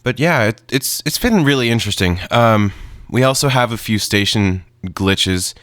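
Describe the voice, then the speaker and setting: Low voice guy just chatting to intro a clip, kinda halting, real dry recording. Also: lively intonation, fairly bright tone, no echo. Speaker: video creator introducing radio recordings. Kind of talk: casual unscripted commentary.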